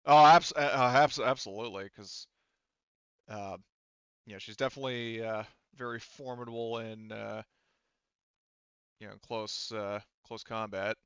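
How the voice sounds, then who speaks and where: A man narrating a tabletop game as game master, casually and haltingly, with some swearing. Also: fairly low pitch, fairly even intonation, a bit bright, clean tone, no room sound, highs cut off. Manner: halting, profane.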